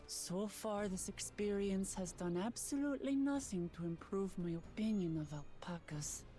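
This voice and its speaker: An actress voicing a spirited young warrior-princess in a video game, speaking in an earnest, plainspoken way. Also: female voice, mid-range voice, expressive, normal speed, mellow, faint music behind, lightly acted. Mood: determined.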